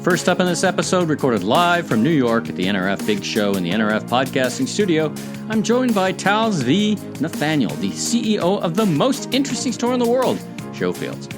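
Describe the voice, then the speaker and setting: A man's voice: fairly high pitch, very animated, brisk, over music. A man hosting a retail podcast, reading a scripted intro and then interviewing a guest, with some hesitant restarts in his questions. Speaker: podcast host. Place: open-roof podcast booth at a trade show.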